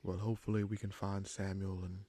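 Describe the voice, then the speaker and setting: A man playing a gruff but caring human bandit leader in a fantasy audio roleplay, wry and protective, with informal, halting phrasing. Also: level tone, mellow, slight background noise, natural voice. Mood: wry, determined. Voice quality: gravelly, husky low voice.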